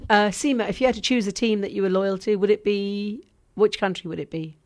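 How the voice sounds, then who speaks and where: A woman's voice: medium pitch, steady tone, fairly quick, a little mellow. A woman hosting a radio show in casual group chat, often prompting the others with questions. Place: radio studio.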